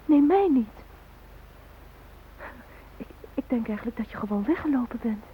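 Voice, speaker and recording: Actress playing grieving young fiancée, high-pitched, halting, anguished, radio drama, muffled lo-fi recording. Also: female voice, animated, slight background noise, lightly acted. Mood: grieving, tender. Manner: anguished, halting, addressing the dead. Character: grieving young bride-to-be mourning her shot fiancé. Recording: dull, lo-fi old radio recording.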